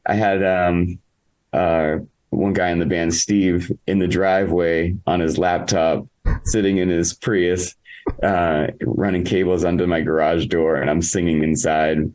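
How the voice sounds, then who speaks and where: A man talking casually as an interviewed musician, halting and reflective as he thinks aloud. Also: low voice, little pitch movement, darkish tone, rough recording. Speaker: interviewed musician, singer in a band.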